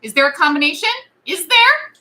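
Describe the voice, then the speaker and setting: Woman doing a tough sweary survivor, really high n sharp, swings all over. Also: sing-song, a little fast, exaggerated voice. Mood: urgent. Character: Quinn, foul-mouthed, threatening female survivor with a gun. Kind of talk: animated script table read.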